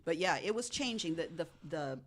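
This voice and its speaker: A woman's voice: deep voice, expressive, moderate pace, a little hiss or hum. A woman on a podcast panel recounting a story in casual, conversational speech.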